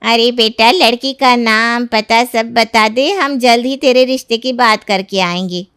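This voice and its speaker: A woman's voice: on the high side, a little slow, fairly bright tone, clean tone. A woman playing an indignant, haughty middle-aged mother-in-law in a cartoon family drama. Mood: pleased, eager. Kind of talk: Hindi moral-story cartoon dubbing.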